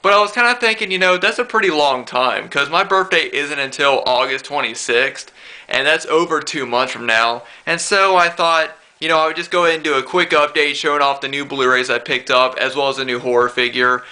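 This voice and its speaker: A man's voice: fairly high pitch, a little fast, pushed and bright. A young man with a stuffed-up nose, chatting casually to the camera about his new collection and rambling with many fillers and restarts. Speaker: collector vlogger. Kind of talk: casual to-camera show-and-tell.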